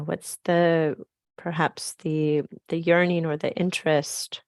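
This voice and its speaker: A woman with a scratchy, raspy voice, softly leading a slow grounding meditation on a video call. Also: lowish voice, no room sound, clean studio sound. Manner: soft, slow, gently inviting. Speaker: program facilitator.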